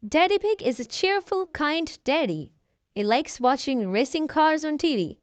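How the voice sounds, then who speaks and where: A woman reading a children's storybook aloud, addressing young listeners in an expressive storytelling manner. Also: high-pitched, measured pace, no echo. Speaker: children's storybook reader, channel host.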